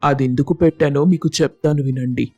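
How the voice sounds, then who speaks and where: A man acting a humble, honest young villager in a moral storybook, speaking in a measured, respectful, pleading way. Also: mid-range voice, fairly even intonation, warm tone, dry recording, dull audio, faint music behind, close to an everyday voice. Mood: earnest, explaining. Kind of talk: acted moral storybook dialogue.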